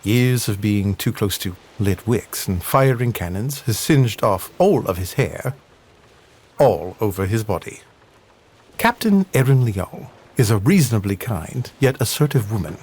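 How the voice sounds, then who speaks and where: A man giving scripted dramatic narration for an audio adventure drama, with a husky voice. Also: fairly low pitch, animated, measured pace, a little mellow. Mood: measured.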